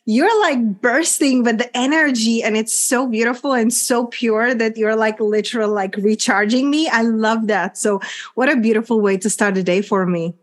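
A woman with a Czech accent, a coach speaking as an interview guest in an earnest, effusive way with frequent "like" fillers. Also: on the high side. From Czech Republic. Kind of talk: candid interview chat.

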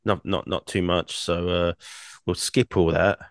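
Deep-voiced man with a croaky throat. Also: level tone, a little slow, no room sound, clean studio sound. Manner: rambling, many fillers and restarts. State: croaky from singing. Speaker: podcast co-host. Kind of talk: casual podcast chat.

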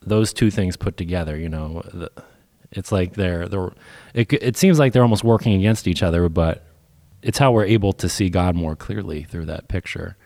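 Very low, dark-voiced male host with a cold; dry close sound, easygoing and rambling. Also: normal speed, dry recording. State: has a cold, voice deeper. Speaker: podcast host. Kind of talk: casual faith discussion.

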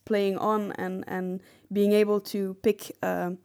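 A woman's voice: medium pitch, level tone, takes it slowly, a little mellow, clean tone. A woman co-hosting a podcast, talking in a casual conversational way. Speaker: podcast co-host.

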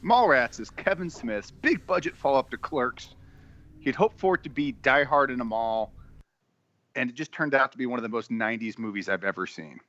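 A man's voice: mid-range voice, expressive, normal speed, a bit bright, highs cut off. A man co-hosting a podcast, giving blunt, opinionated film commentary in a casual style. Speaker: podcast co-host.

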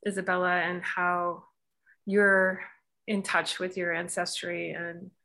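Woman host, flat monotone, gravelly, meandering hesitant questions. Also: lowish voice, slow pace. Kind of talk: casual conversation.

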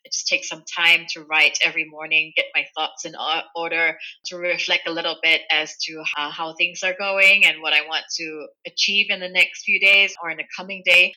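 A woman from Malaysia talking as a guest on an interview podcast, her voice very bright and pitched a little low. She answers at an even, thoughtful pace, pausing on fillers and restarting sentences. She sounds middle-aged and settled. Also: rough recording. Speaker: guest entrepreneur.

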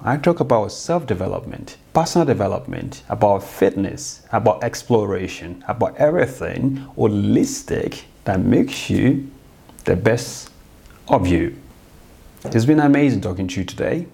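A man's voice: on the low side, animated, normal speed, creaky, mellow. A man hosting his own podcast, giving an earnest, explanatory solo talk straight to his listeners. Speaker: podcast host.

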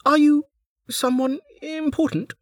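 Narrator putting on a bubbly high young woman. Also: steady tone, measured pace, no room sound, clean studio sound. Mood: puzzled. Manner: bubbly, apologetic, eager. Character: cheerful chatty young woman newcomer.

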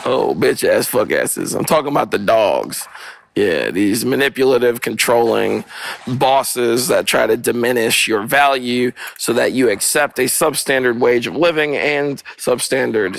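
Solo podcast host, young man, hoarse and gravelly, rambling and cursing outdoors on a portable mic with dogs around. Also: mid-range voice, a bit bright, no echo. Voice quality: rough, gravelly voice. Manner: rambling, profane, spiritual asides. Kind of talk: casual solo monologue. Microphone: portable, not studio quality. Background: dogs playing.

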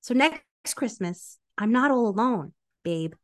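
A woman's voice: fairly high pitch, expressive, a little slow. A woman doing playful, theatrical dramatic readings with an exaggerated, melodramatic delivery as a podcast co-host.

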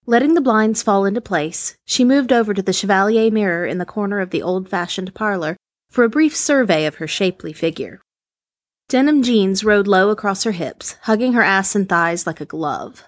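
A woman reading a short story aloud in a calm, steady way. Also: mid-range voice, no room sound, clean studio sound. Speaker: story reader.

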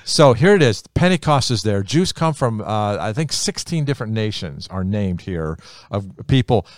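A man's voice: on the low side, normal speed, no room sound, studio quality. Emphatic man teaching Scripture. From America. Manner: animated, emphatic, repeats phrases for effect. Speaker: Bible teacher. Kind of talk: conversational Bible teaching.

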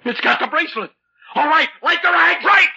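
A man's voice: extremely high pitch, animated, a little fast, vocal fry, sharp tone, slight background noise, full character voice. An actor playing an urgent, commanding man fighting a monster in old-time radio horror style, tense and emphatic. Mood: frantic. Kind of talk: 1940s radio horror acting. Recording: muffled old radio recording.

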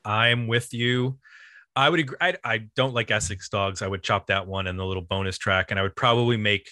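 Guy hosting a music podcast, bright forward voice, rambles on excitedly, dry close sound. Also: on the low side, dry recording. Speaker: podcast host. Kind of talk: casual music discussion.

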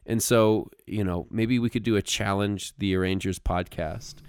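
Low, resonant male podcast co-host. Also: steady tone, normal speed, clean tone, no room sound. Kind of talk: casual explanatory talk about music arranging.

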